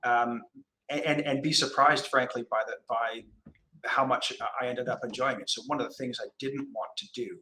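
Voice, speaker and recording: Canadian man, middle-aged, interview guest; bright forceful tone, thoughtful halting delivery, slight background hiss. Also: medium pitch, steady tone, low-quality recording. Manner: measured, hesitant, many restarts and fillers. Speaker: commercial lawyer, guest. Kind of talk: relaxed interview conversation.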